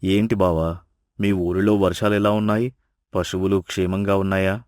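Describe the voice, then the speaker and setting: A man voicing a poor, kind and self-sacrificing village husband in a moral story, earnest and gentle. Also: low-pitched, little pitch movement, normal speed, dry recording, clean studio sound, close to an everyday voice. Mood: welcoming. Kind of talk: storybook dialogue acting.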